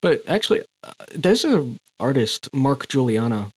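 A young man with a slightly husky voice chats easily on a podcast, relaxed and agreeable. His voice sits in a middle range and is recorded dry and close. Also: mid-range voice, moderate pace, no echo. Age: young adult. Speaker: podcast co-host. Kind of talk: casual chat.

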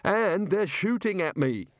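A man's voice: mid-range voice, sing-song, slow, darkish tone, no room sound, clean studio sound. A man voicing a cowardly male wizard who protests in a nervous, aggrieved way, in an audiobook fantasy. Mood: panicked.

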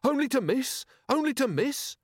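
A desperate crook, played by a male audiobook reader, pitched well up and swooping about. He whines and pleads frantically. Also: sing-song, normal speed, husky, dry recording, studio quality, heavily acted. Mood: indignant. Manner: whining, pleading. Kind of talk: audiobook character voice.

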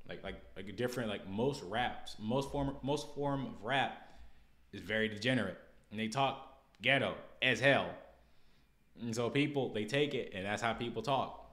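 Bright-voiced American man, blunt and slangy. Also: on the low side. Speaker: podcast host. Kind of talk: casual opinion commentary.

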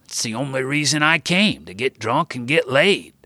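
A man voicing a loud, crude old grandfather who barks his words and talks slowly. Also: mid-range voice, big pitch swings, no echo, pitched above the performer's own voice. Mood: rowdy. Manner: gruff barking.

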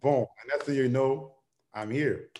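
A man's voice: mid-range voice, unhurried, background noise. A young man talking casually as an interview guest, rambling and full of fillers. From Nigeria. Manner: rambling, many "you know" fillers.

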